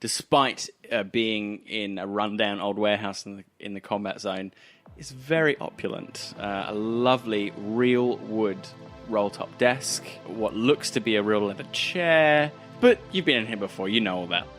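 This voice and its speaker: Low-voiced, wry man as game master. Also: normal speed, fairly bright tone, faint music behind. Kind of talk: narrating a tabletop roleplay game.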